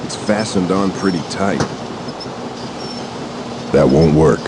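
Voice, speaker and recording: An actor playing a gruff young male orc adventurer in a video game, terse and wry, musing aloud. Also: low voice, slow, heavy background noise. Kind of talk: video game character acting.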